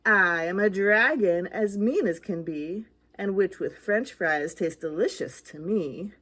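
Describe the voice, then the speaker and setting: A woman's voice: medium pitch, measured pace, fairly bright tone. A woman voicing a mean, hungry male dragon in a storybook read-aloud. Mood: menacing.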